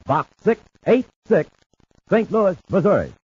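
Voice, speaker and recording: A man pitching a product as a 1950s radio announcer, with emphatic, measured sales delivery. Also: sing-song, heavy background noise. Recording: dull 1950s radio broadcast recording.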